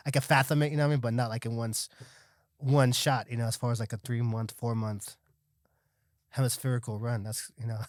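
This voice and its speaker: A man's voice: medium pitch, flat delivery, fairly quick, no room sound, studio quality. A man in a casual podcast conversation, talking in a loose, slangy way. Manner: slangy, peppered with dude and bro. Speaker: podcast guest.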